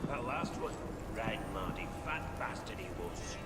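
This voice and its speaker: A man's voice: mid-range voice, animated, a little slow, over loud music, close to an everyday voice. An actor playing a sadistic, coarse British working-class hangman who is proud of his grisly work, in a video game. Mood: gloating. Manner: coarse, gloating. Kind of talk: video game cutscene acting.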